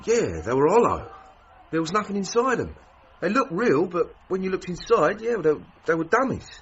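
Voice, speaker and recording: An actor playing a plain-spoken young boxer with a British working-class accent, matter-of-fact, in a radio drama. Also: male voice, high voice, very animated, normal speed, fairly bright tone, heavily acted. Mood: wary. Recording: muffled, noisy radio drama scene.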